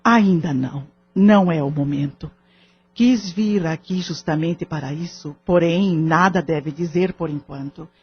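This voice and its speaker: Actress as calm, authoritative spiritist group leader. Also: female voice, sits low. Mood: calm, firm. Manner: measured, gently firm. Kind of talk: audio drama acting. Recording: dull lo-fi audio. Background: background music.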